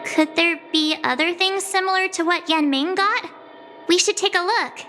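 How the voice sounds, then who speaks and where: A woman voicing a gentle, curious young girl adventurer in a video game. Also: very high voice, moderate pace, slight background noise. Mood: curious, thoughtful.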